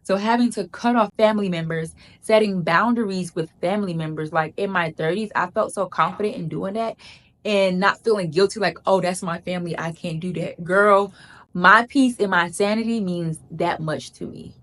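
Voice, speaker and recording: A woman's voice: fairly low pitch, moderate pace, a bit bright. A woman gives casual advice to camera. She talks in an emphatic, chatty way, repeats lines for emphasis and calls viewers "girl.". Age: 30s. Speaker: vlogger.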